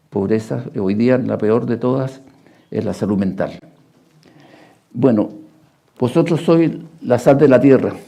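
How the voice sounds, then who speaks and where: Gravelly, very mellow man preaching. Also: mid-range voice. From Argentina, Paraguay and Chile. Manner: halting, reflective, pauses between short phrases. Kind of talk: sermon with Bible readings.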